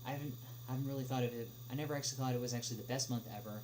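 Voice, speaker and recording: Young adult man, mid-pitched voice, hesitant and filler-heavy, casual livestream Q&A style, clear background noise with hum. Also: fairly even intonation, a little mellow. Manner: halting, hesitant delivery with frequent long filled pauses. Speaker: livestream host answering viewer questions. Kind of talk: casual livestream chat, reading out questions and answering. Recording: clearly noisy, humming background.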